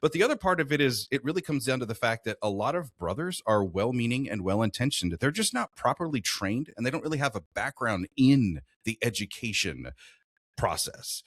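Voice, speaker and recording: A man's voice: mid-range voice, very animated, fairly quick, a bit bright, dry recording, clean studio sound. An American man in his late forties hosting a casual, conversational podcast interview. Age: late 40s. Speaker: podcast host.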